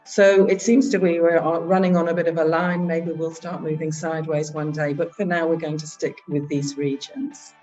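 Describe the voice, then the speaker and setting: A woman who heads a charity, presenting at a virtual annual meeting in a halting way, with frequent filler sounds. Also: low voice, level tone, a little mellow, muffled recording, soft background music. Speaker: head of a charity organisation.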